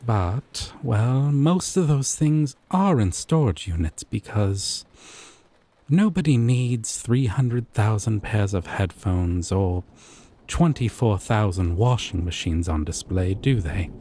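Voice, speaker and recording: A man playing a gentle, possessive yandere boyfriend from a dating sim, speaking tenderly and coaxingly with deliberate pauses in a scripted roleplay. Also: lowish voice, expressive, slight background noise. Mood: calm, explanatory. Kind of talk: scripted roleplay audio drama.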